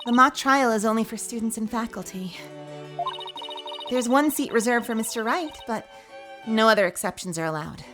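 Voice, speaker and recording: A woman's voice: fairly high pitch, animated, normal speed, over music, pitched above the performer's own voice, close to an everyday voice. A woman voicing a prim, nervous young female student who speaks politely and hesitantly.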